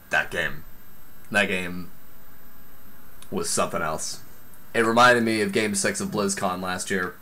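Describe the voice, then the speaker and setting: A man giving excited live play-by-play commentary on a video game match, exclaiming often, while losing his voice. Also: sits low, slight background noise, low-quality recording. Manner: excitable, exclaims often, talks fast in bursts. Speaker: esports commentator.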